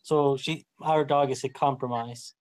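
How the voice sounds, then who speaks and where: A man's voice: mid-range voice, fairly even intonation, a little slow, darkish tone. A man from Sweden with a Swedish accent, chatting on a video call with a study partner, friendly and a bit hesitant, chuckling often.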